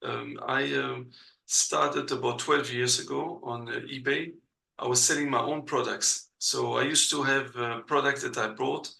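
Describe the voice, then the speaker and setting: A man from Belgium speaks as an in a casual interview. His voice is gravelly yet bright and forward. He talks hesitantly and fills his pauses with plenty of "uh". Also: mid-range voice, steady tone. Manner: hesitant, many uh fillers, non-native grammar. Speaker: guest.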